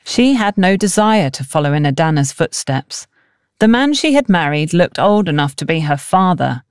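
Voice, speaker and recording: A woman's voice: fairly low pitch, very animated, moderate pace, clean tone, no room sound, clean studio sound. A woman reading a novel aloud as scripted audiobook narration.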